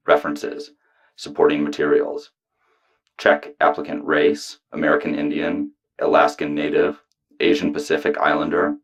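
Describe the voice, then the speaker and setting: A man reading poetry aloud in a flat, official manner, in clipped lists and commands. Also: very deep voice. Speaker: poetry reader. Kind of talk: deliberate poetry recitation.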